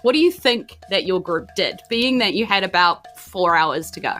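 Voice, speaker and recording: Bright-voiced New Zealand woman game master. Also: lowish voice, expressive, moderate pace, faint music behind. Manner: informal, rambling, prompting the players with questions. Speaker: dungeon master of a tabletop game podcast. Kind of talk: improvised game narration, casual chat.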